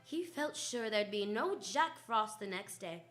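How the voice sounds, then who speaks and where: A woman's voice: medium pitch, animated, a little slow, loud music behind the voice, close to an everyday voice. A woman playing a confident, wry female private detective who narrates and acts in old-time radio style. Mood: storytelling. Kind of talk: 1940s radio detective drama, first-person narration.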